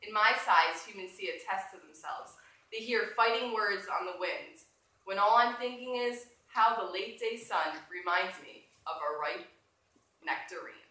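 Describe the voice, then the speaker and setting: A woman reading a storybook aloud as a proud, dignified, wry male gorilla, in a measured, solemn manner. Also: medium pitch, bright, forceful tone. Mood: proud, wistful. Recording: echoey room from far off, faint noise.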